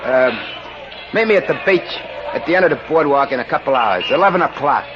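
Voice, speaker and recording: An actor playing a cocky, wheedling small-time pickpocket with a slangy New York accent in a 1940s radio drama. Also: male voice, on the high side, expressive, close to an everyday voice. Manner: slangy, brash, fast-talking wise guy. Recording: noisy, dull old radio recording.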